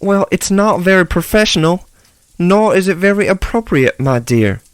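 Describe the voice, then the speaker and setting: A man's voice: high voice, animated, normal speed, clear, resonant tone, dry recording. An actor playing a prim, condescending male pastor in a radio drama.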